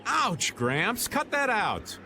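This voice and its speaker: A boastful, slippery middle-aged rogue for a video game. His American-accented voice is fairly high and leaps about theatrically, full of cocky complaint, over faint background noise. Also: wildly swooping pitch, slow, full character voice. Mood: whiny, alarmed.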